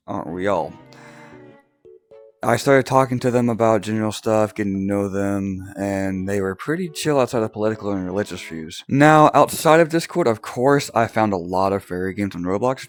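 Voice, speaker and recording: Young male vlogger, low and groggy, rambling storyteller prone to laughs and restarts. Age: young adult. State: groggy, just woke up. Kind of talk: casual personal storytelling.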